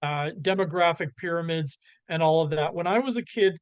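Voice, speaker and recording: A Canadian man walks through charts in a presentation, pausing often on fillers and restarting his sentences. His voice sits a little high for a man. The sound is dry, with no room echo. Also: smooth, muffled recording. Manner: halting, many fillers and restarts. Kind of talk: slide presentation on economics.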